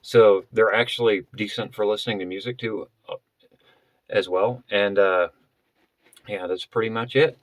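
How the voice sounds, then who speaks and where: A man vlogger explaining gear in a casual, unscripted way, halting and often rephrasing as he goes. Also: on the low side, steady tone, no echo. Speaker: vlogger presenting a budget gear setup.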